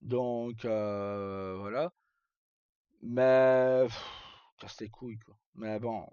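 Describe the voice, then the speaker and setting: A man's voice: medium pitch, flat delivery, extremely slow, warm tone, smooth, no room sound. Man, livestream host, casual chat, informal and sweary, dry dull muffled mic.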